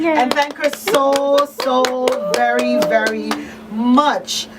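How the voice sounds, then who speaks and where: A woman's voice: sits high, drawn-out words, loud music behind the voice. A woman hosting a live radio call-in show, effusive and repeating words for emphasis. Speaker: talk show host. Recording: distant mic, faint noise behind.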